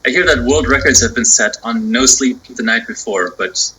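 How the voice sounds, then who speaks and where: Bright-voiced man moderating a call. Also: medium pitch, moderate pace, slightly rough, poor audio. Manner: brief, polite, handing over turns. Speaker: meeting host. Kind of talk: moderating a meeting. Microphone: video call.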